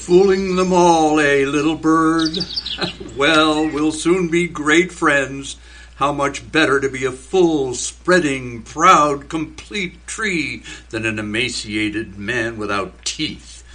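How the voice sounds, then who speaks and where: An actor playing a quiet postman who is turning into a tree and muses slowly on human greed, in a community radio play. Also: a little high. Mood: musing. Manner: slow, musing, hushed. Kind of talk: community radio theater acting.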